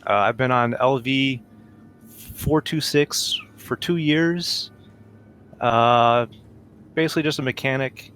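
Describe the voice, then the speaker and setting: A man of middle pitch talks casually as a tabletop player. His delivery is offhand and dryly funny, with slight background noise. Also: slow, pauses often, fairly bright tone. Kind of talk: casual chat, describing a game character.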